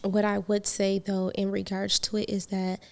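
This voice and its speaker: Relaxed, candid woman guest in her thirties. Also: mid-range voice, normal speed, gravelly. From Trinidad. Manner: relaxed and candid, laughs easily, reflective. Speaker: guest, business founder. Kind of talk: casual podcast interview.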